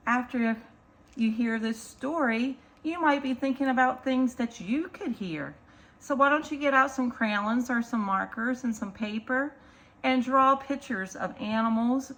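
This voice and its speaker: A woman's voice: fairly high pitch, a bit bright, poor audio. A woman reading a picture book aloud to young children, with singsong repetition and simple asides to the listeners. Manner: slow, deliberate, singsong repetition; addresses small children directly with simple asides. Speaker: children's story time reader.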